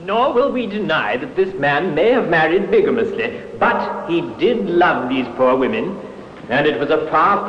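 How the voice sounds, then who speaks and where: Defense barrister, male actor: fairly high, impassioned oratory, pleading, booming reverb on a muffled recording. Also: lightly acted. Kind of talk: 1950s radio drama acting. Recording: echoey hall, muffled noisy old radio.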